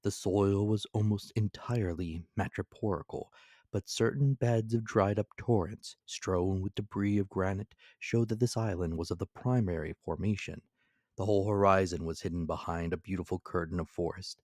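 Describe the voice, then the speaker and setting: Low-voiced man narrating a classic novel. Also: steady tone, moderate pace, darkish tone, no echo, clean studio sound. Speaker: audiobook narrator. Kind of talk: dramatic scripted reading of classic fiction.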